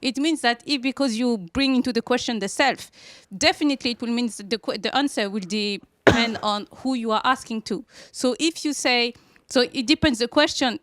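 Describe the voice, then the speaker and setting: A woman from France, an audience member in a studio discussion, explaining her view in careful, measured steps. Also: on the high side, a bit bright, no echo.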